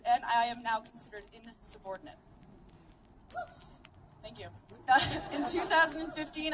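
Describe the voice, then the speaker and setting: High-voiced woman giving a speech. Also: a little hiss or hum. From Canada. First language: Greek. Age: 30s. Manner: earnest, steady, determined delivery. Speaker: rally speaker. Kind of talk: prepared public speech. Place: outdoor rally. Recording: voice picked up from a distance.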